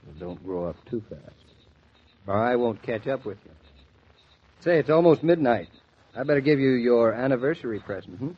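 An actor in a 1940s radio drama playing an affectionate young husband who talks in a dry, gently teasing way. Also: male voice, medium pitch, expressive, normal speed, long pauses, husky. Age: young adult. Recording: muffled 1940s radio transcription.

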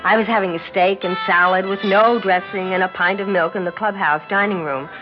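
A woman plays a young American sports champion in a 1960s radio drama. She is plain-spoken, cocky and a little naive, with a middle-pitched voice. The old recording is dull, with prominent music behind it. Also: fairly even intonation, brisk, lightly acted. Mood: matter-of-fact narration. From United States. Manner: blunt, informal, matter-of-fact. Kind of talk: 1960s radio acting, first-person narration and dialogue.

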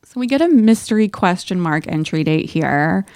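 A woman's voice: fairly low pitch, expressive, normal speed, a little mellow, smooth, dry recording. Wry woman co-host, dry close sound. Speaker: podcast co-host. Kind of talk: casual book-discussion chat.

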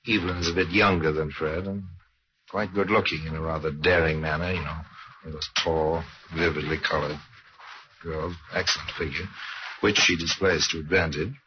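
A man performing as a wry, ominous storyteller in an old-time radio mystery, speaking with deliberate theatrical irony. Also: sits low, lightly acted. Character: wry, ominous older male storyteller of true crimes. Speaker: narrator and host of a crime anthology. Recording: dull, noisy old radio recording.

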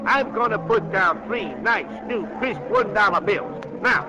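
A man's voice: high voice, expressive, a little slow, crowd noise. A man acting as a brash, slick carnival game barker in a 1940s radio play, with folksy, slangy patter. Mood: showy, jovial. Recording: dull, noisy 1940s radio broadcast.

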